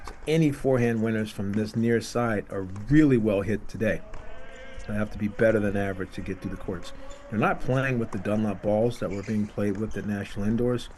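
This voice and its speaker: A man calling a college tennis match live, calm in his analysis and lively when a big shot is played. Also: lowish voice, a little hiss or hum. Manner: excited bursts on big shots, calm analysis between points. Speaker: tennis commentator. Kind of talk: live sports commentary. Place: outdoor tennis venue.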